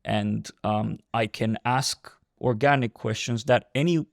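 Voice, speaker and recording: A man's voice: on the low side, fairly even intonation, unhurried, creaky, no room sound, clean studio sound. A man in his thirties hosting a podcast interview, chatty and friendly, laughing often and asking open questions. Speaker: podcast host. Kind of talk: casual interview chat.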